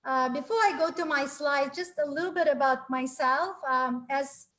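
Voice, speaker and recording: A woman's voice: high-pitched, normal speed, fairly bright tone. A woman in her forties from Ukraine, giving a friendly, earnest webinar talk over a video call, with many fillers and restarts. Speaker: webinar speaker. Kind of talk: presenting a live webinar talk. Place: small home room. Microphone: laptop webcam on a video call.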